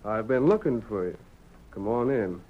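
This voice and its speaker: A man's voice: fairly low pitch, lively intonation, normal speed, noisy background, close to an everyday voice. Man acting a wary cowhand, tinny old radio. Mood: defiant. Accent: American. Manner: folksy, wary. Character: uneasy, stubborn cowhand being blackmailed. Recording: thin, phone-like old radio recording.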